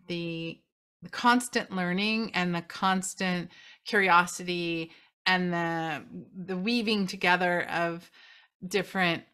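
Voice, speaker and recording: A woman's voice: lowish voice, fairly even intonation, slow, sharp tone, clear, resonant tone. A woman interviewing a guest in a casual chat, enthusiastic and often restarting her sentences. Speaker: interviewer and company CEO.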